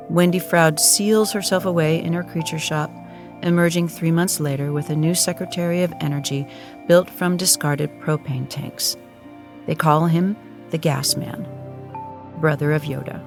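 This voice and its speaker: A solemn narrator of mid-range pitch tells a comic audio drama as if it were an epic. The delivery is scripted and dramatic, with music clearly playing behind it.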